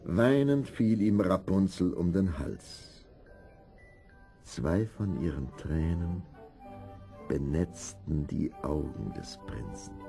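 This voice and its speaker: A male storyteller narrates a fairy tale with drama. His deep, creaky voice is mellow and moves unhurriedly, over faint music.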